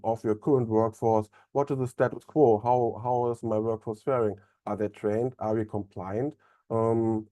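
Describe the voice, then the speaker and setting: A young man with a German accent presenting a software webinar in a relaxed way, with many fillers and restarts. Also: fairly low pitch, no room sound. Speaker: onboarding specialist presenting a webinar.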